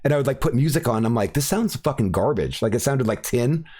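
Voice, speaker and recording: Rambling casual man, mid-pitched. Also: expressive, fast talker, warm tone. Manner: rambling, swears, lots of fillers and restarts. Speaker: podcast host. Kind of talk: casual chat.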